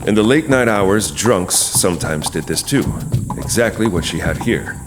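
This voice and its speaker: A man's voice: low voice, expressive, normal speed. A man delivering dramatic scripted narration for a horror story as its narrator. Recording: clear background noise, night sound effects.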